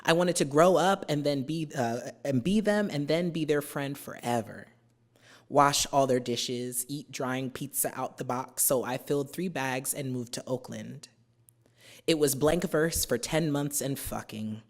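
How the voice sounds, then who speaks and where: American poet woman reading at a symposium. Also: extremely low pitch. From New Jersey, USA. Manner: chatty, um-filled introductions and slow, measured verse. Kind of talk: poetry reading with spoken introductions. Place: university symposium venue.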